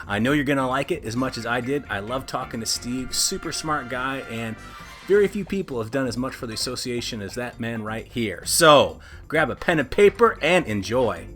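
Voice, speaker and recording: A man's voice: medium pitch, lively intonation, moderate pace, over music. Male podcast host, mid-range voice, upbeat and effusive, chatty interview delivery. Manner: enthusiastic, effusive.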